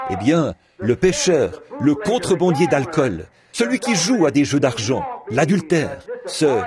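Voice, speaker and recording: Animated man preaching a sermon. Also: fairly high pitch. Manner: lively preaching cadence with repeated words. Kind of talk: French reading of a translated sermon.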